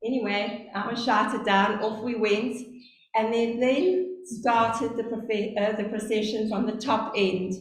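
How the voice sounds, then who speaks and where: A middle-aged woman with a South African accent preaching a sermon, telling stories slowly and earnestly. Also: on the high side, fairly even intonation. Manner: earnest, storytelling, speaks slowly on purpose. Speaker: lay preacher. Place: church. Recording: very echoey church, faint hum.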